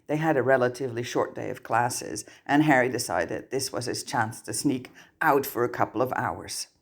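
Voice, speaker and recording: A narrator reading fan fiction aloud as scripted story narration. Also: medium pitch. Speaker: audiobook narrator.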